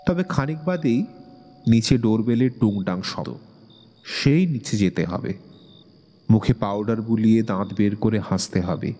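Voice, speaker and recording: A man narrates a story with slow, wistful delivery. His voice is lowish and dark, set in long reverb with soft music beneath. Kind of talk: dramatic scripted literary narration.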